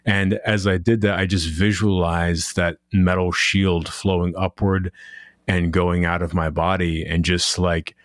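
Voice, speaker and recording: A man with a deep voice, hosting a podcast and speaking thoughtfully and softly in a reflective conversation. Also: flat delivery, unhurried, no echo, studio quality. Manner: thoughtful, soft-spoken, rambling. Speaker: podcast host.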